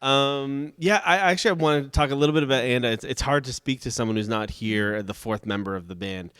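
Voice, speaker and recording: A man interviewing a band, casual and halting, often searching for his words. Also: medium pitch, fairly bright tone, clear, resonant tone, dry recording, studio quality.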